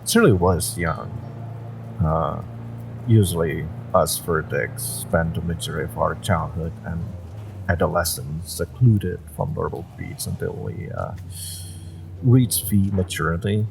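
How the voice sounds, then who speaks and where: A man's voice: lively intonation, unhurried, noisy background, heavily acted. A man playing a jaded, evasive supernatural driver in an intimate audio roleplay, talking in an offhand, hesitant, wry way. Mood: reluctant, confiding. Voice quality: low, gravelly. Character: jaded, evasive male Omega driver, secretly a former judge. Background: music and background ambience.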